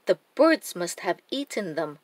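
A woman's voice: lowish voice, big pitch swings, measured pace, no room sound. Woman voicing an earnest young fairy-tale girl. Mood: sad.

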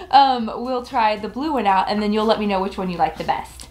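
A woman's voice: fairly high pitch, a little slow. A middle-aged woman giving a casual, gushing, chatty review to camera in a bedroom. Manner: gushing, expressive. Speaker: home decor vlogger. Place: furnished bedroom indoors.

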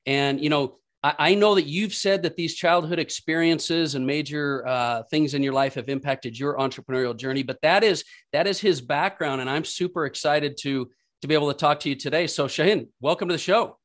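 A man's voice: on the high side, a little fast. Rambling male podcast host. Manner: rambling, repeats words, warm affirmations. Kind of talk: casual interview.

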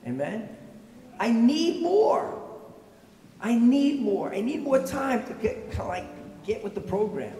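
A middle-aged male pastor with a raspy voice, giving a conversational sermon from a pulpit mic and asking the congregation questions. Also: very high voice, sing-song, measured pace, dark tone, distant mic, slight background noise. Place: large church, at a pulpit. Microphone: stand mic at the pulpit. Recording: echoey church heard from afar.

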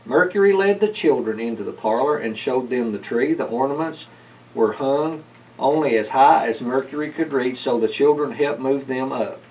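A man's voice: medium pitch, moderate pace, a little mellow. A middle-aged man reading a children's story aloud as a narrator. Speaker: storybook reader. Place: indoors, library with bookshelves. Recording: slightly distant mic, faint room noise.

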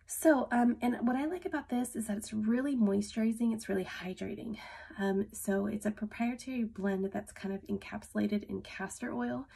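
A woman's voice: mid-range voice, gravelly, mellow, husky. A woman in her thirties, congested from a cold, chatting casually to camera as she demonstrates a lipstick, with many ums. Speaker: beauty vlogger. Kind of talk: casual product demo to camera. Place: small room at home, indoors.

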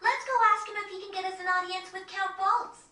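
A woman's voice: extremely high pitch, fairly even intonation, a little fast, pushed and bright, distant mic. A woman playing an eager, chirpy young child adventurer in a video game, recorded off a TV. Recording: roomy game audio recorded off a TV.

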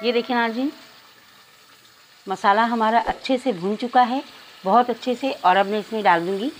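High-voiced woman narrating a recipe. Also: moderate pace, slight background noise, rough recording. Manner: step-by-step, addressing viewers directly. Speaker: home cook presenting a recipe. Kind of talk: instructional cooking narration.

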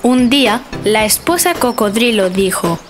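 A woman's voice: a little high, animated, measured pace. Woman narrating a children's story over music.

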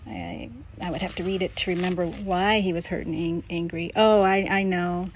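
A middle-aged woman thinking aloud in a halting, casual way as she comments on a scrapbook for a vlog. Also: lowish voice, fairly even intonation, a little hiss or hum. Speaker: vlogger, memoir author.

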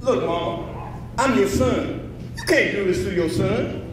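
A man's voice: high voice, expressive, a little slow, a little hiss or hum. A man acting on stage as a young son who deals drugs, talking defensively and pleading with his mother. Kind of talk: live gospel stage play acting. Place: theater stage with kitchen set. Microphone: far camera. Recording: echoey theater, far-off camera mic.

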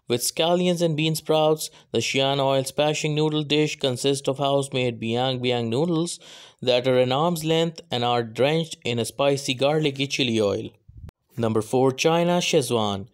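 A man reads a scripted voice-over at a steady, even clip, calling out each entry by number. His voice sits a touch higher than most men's. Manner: steady list-reading delivery, announcing each entry by number. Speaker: narrator of a restaurant countdown.

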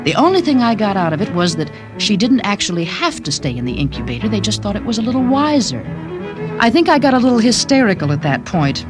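A woman's voice: medium pitch, sing-song, fast, music in the background, lightly acted. An actress playing a doting, chatty young mother in a radio drama, confiding and gushing expressively. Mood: confiding, weary.

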